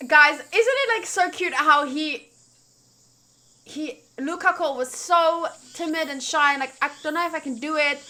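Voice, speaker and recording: A young woman reading visual novel narration aloud as a streamer, expressive and informal, with casual asides. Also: sits high, a little slow.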